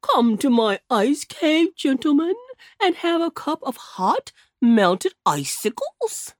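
Big jolly polar bear gent, booming, lady doing him, slow and lively. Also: dry recording, clean studio sound, exaggerated voice.

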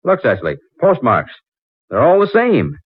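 Excitable fellow on tinny old radio. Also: male voice, on the high side, normal speed, husky, dry recording, heavily acted. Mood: excited, amazed. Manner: urgent, emphatic, theatrical delivery. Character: excitable man astonished by a hidden find. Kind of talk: 1940s radio drama acting. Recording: muffled narrow-band 1940s radio recording.

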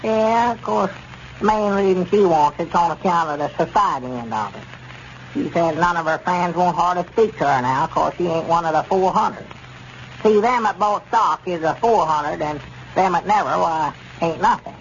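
Casting: gullible rural Southern shopkeeper, higher-pitched folksy drawl, earnest and fretful, noisy vintage radio serial sound. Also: male voice, normal speed. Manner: drawling, folksy, ungrammatical speech. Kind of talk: 1930s radio serial acting. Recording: dull, noisy 1930s radio recording.

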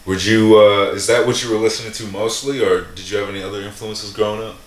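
A man's voice: fairly low pitch, steady tone, fast talker, echoey room. A man from Minnesota interviewing a rapper in easygoing, joking chat.

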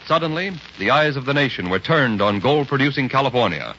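A man's voice: medium pitch, lively intonation, a little fast, bright, forceful tone. Male vintage radio narrator, declamatory and punchy, on a muffled recording with faint hiss. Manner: grand, declamatory. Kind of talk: 1930s dramatic radio narration.

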